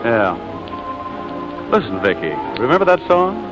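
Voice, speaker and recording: Smooth schemer wooing his old flame, slow delivery, muffled vintage radio broadcast. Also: mid-range voice, very animated. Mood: coaxing. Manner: coaxing, wisecracking. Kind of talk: 1940s radio romantic comedy acting. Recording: dull vintage radio recording, music behind.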